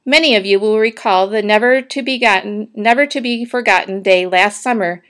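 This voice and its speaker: A woman voicing a pompous male announcer booming over a fairground loudspeaker. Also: normal speed, close to an everyday voice.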